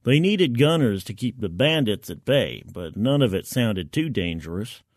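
A male narrator performs a first-person military science fiction story. His voice is medium in pitch, with a colloquial, wry and gritty soldier's delivery. The recording is very dry, with no room sound. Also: dry recording.

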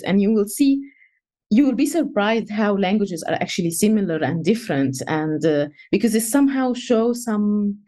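A young woman speaks English at a middling pitch. She answers interview questions in halting, thoughtful phrases, often restarting sentences and filling pauses. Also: mellow. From Egypt. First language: Egyptian Arabic. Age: young adult. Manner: halting, many fillers and restarts. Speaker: guest researcher.

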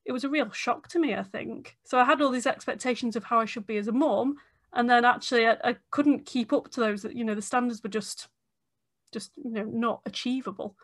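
A woman's voice: fairly high pitch, a little fast, no room sound, clean studio sound. A woman leading a panel discussion, speaking earnestly with hesitant restarts. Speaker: discussion moderator. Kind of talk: conversational discussion.

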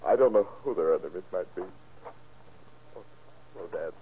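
Nervous earnest young fella on old radio show, lowish husky voice, tinny sound. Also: male voice, animated, normal speed, full character voice. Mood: uneasy, earnest. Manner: hesitant, earnest. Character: earnest, uneasy young student stepson. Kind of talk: old-time radio crime drama acting. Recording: thin, scratchy old radio recording.

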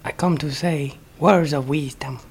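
A man voicing the ghost housekeeper's sister, an old woman who speaks slow, broken English and gives deadpan sayings. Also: fairly high pitch, slight background noise. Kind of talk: comedic character bit on a podcast.

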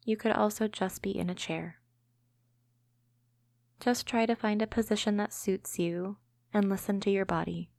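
Calm woman guiding meditation, evenly pitched. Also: creaky, no echo, clean studio sound. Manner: gentle, calming, measured delivery. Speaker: host and narrator of a guided meditation podcast. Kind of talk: scripted guided imagery meditation narration.

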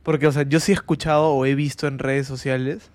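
A man with a Peruvian Spanish accent, co-hosting a podcast and telling stories in a playful, animated, slang-heavy way. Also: mid-range voice, fairly quick, dry recording. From Peru. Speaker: podcast co-host. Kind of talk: casual chat.

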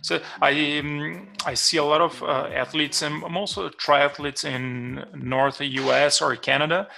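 A man hosting an interview podcast, asking questions with halting restarts. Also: fairly high pitch, level tone, creaky, bright, forceful tone, faint music behind. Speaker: podcast host.

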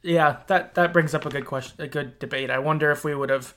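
Guy on a podcast, voice a bit on the high side, casually retelling stuff. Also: steady tone, fast, fairly bright tone. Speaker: podcast co-host. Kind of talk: casual chat recapping a video game story.

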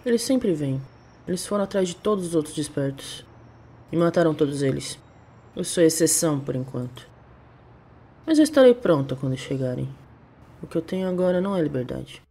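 A woman acting in an audio drama as a haunted, fatalistic mage on the run, grave and resolute in a husky voice. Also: low-pitched, animated, brisk, pauses often, warm tone, exaggerated voice. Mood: grim, resolute.

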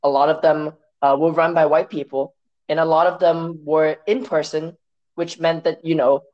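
A man from Hong Kong whose first language is Cantonese, speaking as an interview guest in a measured, reflective way with some restarts. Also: on the high side, flat delivery, dull audio. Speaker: guest, science story editor.

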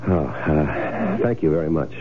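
An actor playing a broke, cocky schemer in a radio drama, with a wry, hardboiled, breezy delivery, both in dialogue and first-person narration. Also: male voice, extremely low pitch, very animated, unhurried, a crowd in the background, heavily acted. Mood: casual, hesitant. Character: broke, cocky male schemer who faked his death. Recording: tinny radio broadcast with loud music.

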